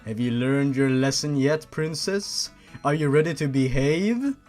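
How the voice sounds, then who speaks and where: A man voicing a smug, cruel, gentlemanly demon captor in a horror fan fiction, with a slow, condescending, taunting manner. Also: medium pitch, soft background music, natural voice. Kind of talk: creepypasta fan fiction character reading.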